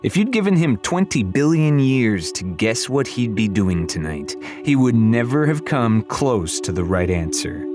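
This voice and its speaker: A man's voice: mid-range voice, sing-song, a little slow, hardly any pauses, over music. A man reading scripted fantasy fiction as an audiobook narrator.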